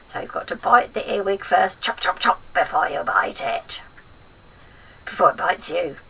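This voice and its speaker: A woman's voice: fairly low pitch, lively intonation, moderate pace, pushed and bright, distant mic, exaggerated voice. A woman voicing a sinister, gloating old grandmother in a storybook, drawing out nasty words with menacing relish. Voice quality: throaty, breathy whisper at times.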